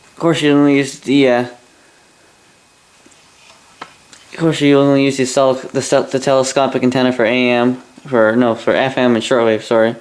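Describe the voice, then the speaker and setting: A man's voice: medium pitch, little pitch movement, moderate pace, pauses often. A Canadian man giving a casual, rambling hobbyist product review, with grumbling asides and mild swearing.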